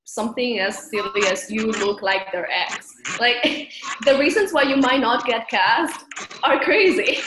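A woman's voice: a little high, unhurried. Spanish-accented woman in an echoey room. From Spain. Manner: animated, laughs often while talking. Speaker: guest. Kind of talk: conversational interview. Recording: echoey room with background noise.

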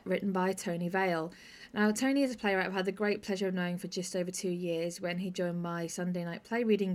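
A woman speaking as the host of a podcast. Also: fairly low pitch. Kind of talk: podcast interview and introduction.

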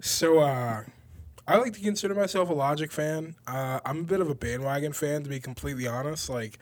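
A man's voice: on the high side, fairly quick. A young man co-hosting a podcast, chatting casually about music with slangy, informal phrasing and some swearing. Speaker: podcast co-host.

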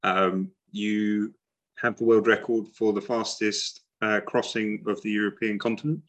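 A man with a lowish voice hosts a podcast interview. He asks friendly, rambling questions and fills the gaps with plenty of ums and uhs. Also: flat delivery, a little slow, highs cut off. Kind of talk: casual interview.

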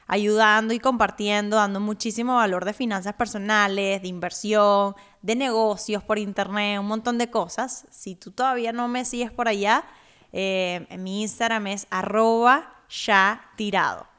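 A woman's voice: medium pitch, fairly even intonation, moderate pace, fairly bright tone, clean tone. A young woman from Cuba hosting her own finance podcast, telling her story in an upbeat, personal way. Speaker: podcast host.

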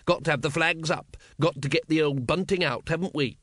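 A man's voice: on the high side, fairly quick, fairly bright tone, no echo, studio quality, voice pushed up. A man voicing a cocky, proud street workman with offhand colloquial speech, as an audiobook character.

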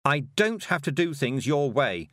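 A man's voice: mid-range voice, big pitch swings, normal speed, a bit bright, no room sound, studio quality, voice pushed up. A man voicing a headstrong young girl witch, impatient and scornful. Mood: defiant.

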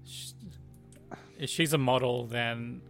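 A man's voice: mid-range voice, steady tone, slow pace, a bit bright, faint music behind. A young man co-hosting a casual podcast, joking and laughing often with disbelief. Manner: laughs often, jokey and incredulous. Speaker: podcast co-host. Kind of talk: casual banter over a game.

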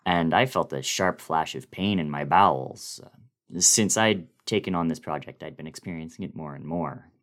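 A man's voice: very deep voice, moderate pace, a bit bright. A man reading a horror story in the first person as an uneasy, sleepless construction project manager, confiding and troubled. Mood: tense.

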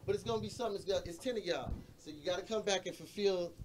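A man's voice: high-pitched, fast talker, noisy background. A man hosting a casual chat, talking in slangy, blunt and joking language. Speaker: show host.

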